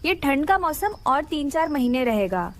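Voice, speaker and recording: A gentle, earnest cartoon mother bird acted by a woman. Her voice is high and very lively, polite and full of care. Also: normal speed. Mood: concerned. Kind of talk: animated cartoon story.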